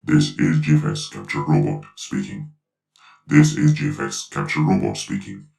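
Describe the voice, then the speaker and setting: A man voicing an instructive robot through a pitch-lowered robotic effect, giving deliberate step-by-step tutorial commands. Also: huge pitch swings, gravelly, a little mellow, recorded from a distance, faint music behind, heavily acted. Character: instructive robot speaking for a video channel. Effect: robotic pitch-lowered voice with flanger and delay.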